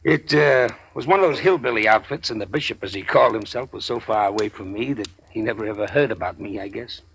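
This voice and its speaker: A man playing a wary middle-aged gangster who was once a preacher, folksy and drawling, with quiet menace, in 1940s radio drama style. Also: lowish voice, fast talker, creaky, husky, full character voice.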